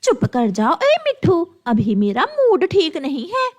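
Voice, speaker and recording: A woman voicing a spiteful, gloating female crow in a children's cartoon, with a taunting, sharp-tongued manner. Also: sits high, huge pitch swings, slow, voice pushed up, heavily acted. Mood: irritated, scornful. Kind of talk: Hindi children's cartoon voice acting.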